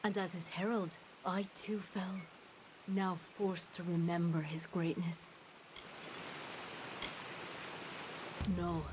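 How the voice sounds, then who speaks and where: Woman voicing a low, solemn fey herald. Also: slight background noise, natural voice. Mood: mournful, solemn. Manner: grave, measured, formal storytelling. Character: solemn fey herald woman mourning her fallen master. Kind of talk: fantasy game voice acting.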